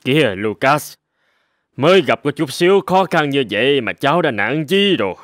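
A man voicing a kindly older mentor in a cartoon, speaking in a measured, earnest and encouraging way. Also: medium pitch, animated, fairly bright tone, dry recording, studio quality, close to an everyday voice. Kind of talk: animated cartoon dialogue.

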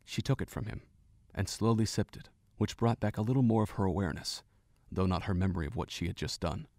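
A man narrating a fan audio drama in an even, measured reading voice. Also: on the low side, no room sound, clean studio sound. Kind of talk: scripted story narration. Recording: home narration with faint music.

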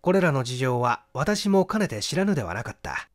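A man reading a literary detective story aloud as scripted narration, with an animated storytelling delivery. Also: medium pitch, fairly quick. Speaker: audiobook reader.

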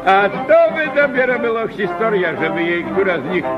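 An actor playing a blunt, jovial, teasing old uncle in a theatrical radio play, full of folksy grumbling. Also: male voice, high-pitched, big pitch swings, moderate pace. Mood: teasing, amused. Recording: muffled radio play, loud music behind.

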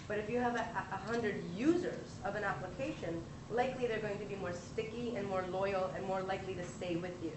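An American woman in her thirties leading a live tech tutorial, chatty with quick asides and self-corrections. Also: medium pitch. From United States. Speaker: tech workshop presenter. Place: large conference room. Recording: echoey conference room with background noise.

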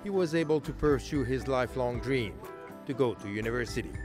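A male reporter narrating a news feature in a measured, scripted voice-over. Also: medium pitch. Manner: measured, even storytelling delivery. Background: music bed.

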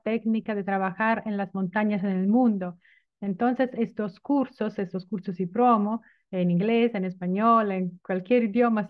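Italian-accented woman on a tinny line. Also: medium pitch. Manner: lively, informal, searching for words. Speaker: invited speaker. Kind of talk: talk at an online event. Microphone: video call. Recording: tinny phone-like audio.

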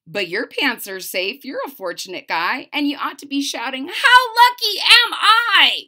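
A woman's voice: sits high, very animated, unhurried, bright, forceful tone. A woman in her forties reading a children's picture book aloud with emphatic, sing-song delivery and casual asides. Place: small furnished room at home.

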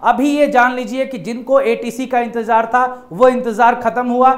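A man's voice: very high voice, steady tone, normal speed. A man in his thirties, an exam coaching teacher, explaining a job notice to students in a casual way, often repeating key points. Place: indoor studio in front of a projected screen.

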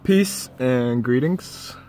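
A man's voice: mid-range voice, drawn-out words, loud music behind the voice. A young man vlogging from his parked van, talking casually and rambling, with easy laughs. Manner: relaxed, rambling, laughs between words. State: tired and tipsy late at night. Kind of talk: casual vlog to camera. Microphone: phone camera at arm's length.

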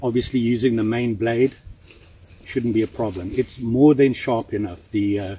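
A man in his sixties giving a relaxed, chatty hands-on knife review outdoors in the woods, with plenty of fillers and restarts. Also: mid-range voice, normal speed, slightly rough. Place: outdoors in woods, kneeling in the undergrowth.

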